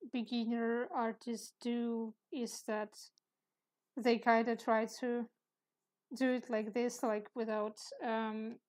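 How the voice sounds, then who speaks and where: A woman with a soft, sleepy voice, chatting hesitantly while she draws on a live stream. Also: on the high side. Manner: hesitant, halting, with small laughs. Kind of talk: casual chat while sketching.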